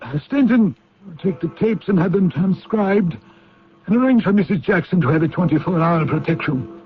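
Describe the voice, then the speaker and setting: An actor playing a senior British intelligence chief in a radio drama, formal and authoritative, pausing as he gives orders. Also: high voice, animated, a little hiss or hum. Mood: formal, solicitous. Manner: formal, hesitant pauses, authoritative. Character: senior British intelligence chief, courteous but commanding knight. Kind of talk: old-time radio drama acting. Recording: muffled radio drama recording.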